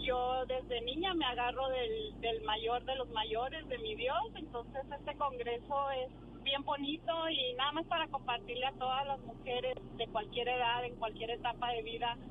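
Middle-aged woman caller, high-pitched, earnest and devout, heard over a dull phone line with car noise. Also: fairly even intonation, moderate pace, few pauses. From Juárez Valley, Mexico, born in Texas. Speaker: radio show caller. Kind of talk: casual testimony on a call-in. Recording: noisy muffled phone call, car ambience.

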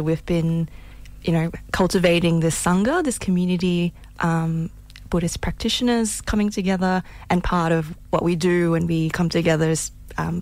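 A woman from Malaysia co-hosting a radio show, speaking softly and reflectively with many pauses and fillers. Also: lowish voice, no echo. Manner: soft-spoken, hesitant with many fillers. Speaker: radio co-host. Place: radio studio.

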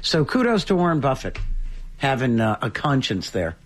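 A man's voice: wildly swooping pitch, normal speed. A man hosting a morning radio show, chatting casually and telling stories with chuckling asides. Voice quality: deep, slightly husky voice.

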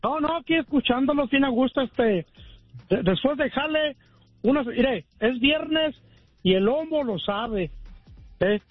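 A folksy male caller with a very high, husky voice. He talks in a relaxed, slang-filled way over a dull, lo-fi phone line. The sound is dry, with faint music under him. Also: a little slow, long pauses, dry recording. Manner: folksy and easygoing, full of colloquial slang. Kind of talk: casual call-in chat. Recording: muffled lo-fi phone call.

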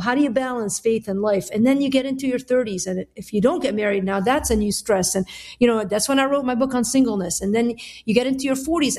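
A middle-aged woman from Lebanon speaks as an interview guest. Her voice is fairly high with a husky edge, and she explains things in a candid, conversational way. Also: a little mellow. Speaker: interview guest, author and Bible teacher.

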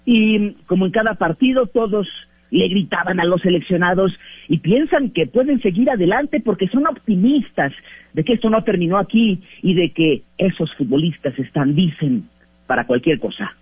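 Male field reporter, measured news narration, dry and close, narrow-band sound. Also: sits high, animated, breathy edge, no room sound.

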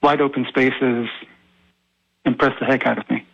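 A man's voice: mid-range voice, fairly even intonation, normal speed, gravelly, slightly rough. A man who grew up in Israel, a history professor, speaking politely as a guest over the phone on a radio show. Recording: dull phone-line sound.

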